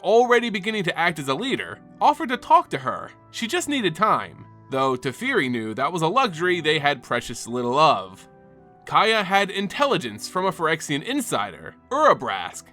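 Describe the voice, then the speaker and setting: Bright, animated male storyteller over music. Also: fairly high pitch. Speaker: host and narrator of a fantasy lore show. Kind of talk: dramatic scripted narration. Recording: background music under the voice.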